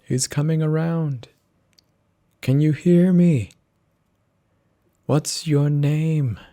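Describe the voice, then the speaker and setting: A man's voice: fairly high pitch, steady tone, drawn-out words, mellow, voice pushed up. A man voicing an urgent male emergency doctor in a horror story, calling out emphatically to a patient.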